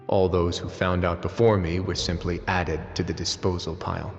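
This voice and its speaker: A man acting a composed, wry adult male warden in a video game, speaking in a measured, matter-of-fact way. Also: low voice, big-room sound, lo-fi sound.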